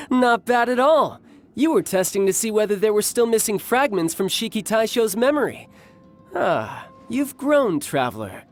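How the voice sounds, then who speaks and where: A man's voice: high voice, sing-song, measured pace. A cocky young male warrior from a video game, who relishes a fight. The voice swings expressively up and down in a teasing, theatrical way, over light music. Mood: amused, approving. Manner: teasing, self-assured, theatrical. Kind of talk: video game character acting. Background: background music.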